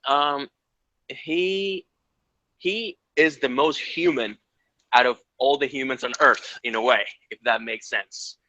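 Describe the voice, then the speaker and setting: A man's voice: mid-range voice, slow pace, sharp tone, slight background noise. A man from Ecuador, earnest and rambling, answering questions in a casual interview. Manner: earnest, rambling, lots of restarts. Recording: dull lo-fi sound.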